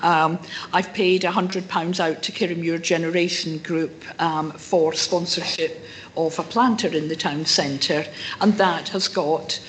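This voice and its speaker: A woman with a rough voice, speaking as a member of the public to a council meeting and answering questions. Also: lowish voice, steady tone, measured pace, a little hiss or hum, rough recording. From incomer to the town. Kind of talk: formal address to a council meeting.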